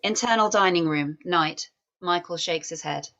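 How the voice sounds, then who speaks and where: A woman's voice: fairly low pitch, moderate pace, pushed and bright, lo-fi sound. A woman narrating a screenplay, reading its stage directions aloud. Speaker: script narrator.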